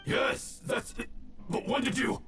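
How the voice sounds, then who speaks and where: A man's voice: unhurried, echoey room, far from the mic, faint music behind, lightly acted. An actor playing a scheming male ronin gang boss who gloats and sneers. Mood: panicked. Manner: gloating, then panicked shouting.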